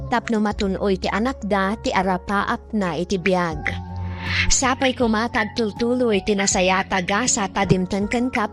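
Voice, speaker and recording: Ilocano woman narrating over music. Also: medium pitch. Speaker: radio drama host reading a story's advice. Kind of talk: scripted radio narration. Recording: music bed under the voice. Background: background music.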